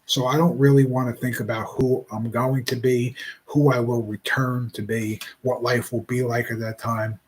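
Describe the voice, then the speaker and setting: A man in his forties speaking in a casual reflective monologue, rambling and halting with many restarts. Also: medium pitch, little pitch movement, rough recording. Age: 40s.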